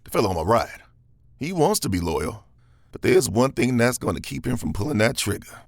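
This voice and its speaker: An actor playing a cocky, dangerous gunslinger in a Western audio drama, with a gravelly Southern American drawl and theatrical, taunting delivery. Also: male voice, medium pitch, big pitch swings, a little fast, no echo, studio quality. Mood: smug, needling.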